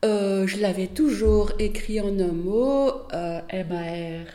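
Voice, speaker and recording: A woman, giving a measured personal testimony in an interview, pausing often with fillers. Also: mid-range voice, warm tone, reverberant. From Belgium, Wallonia. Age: 60s. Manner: measured, hesitant with frequent fillers. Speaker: interviewee, artist.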